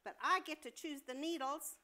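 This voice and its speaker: A woman's voice: high voice, steady tone, moderate pace, sharp tone. A woman playing a scornful, impatient hospital nurse with a scolding, sarcastic manner in a staged comedy reading. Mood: scolding, dismissive. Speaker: amateur actor in a staged reading.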